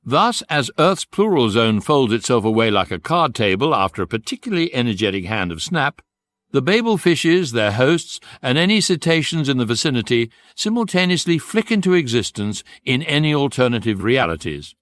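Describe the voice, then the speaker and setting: Wry guidebook narrator, animated. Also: male voice, medium pitch, no room sound. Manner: deadpan, articulate, measured phrasing. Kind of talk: scripted comic narration for radio.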